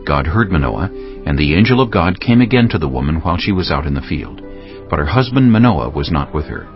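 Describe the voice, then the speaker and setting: A man's voice: a bass voice, sing-song, fairly quick. A man narrating a dramatized reading of scripture as the storyteller. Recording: dull band-limited sound. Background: background music.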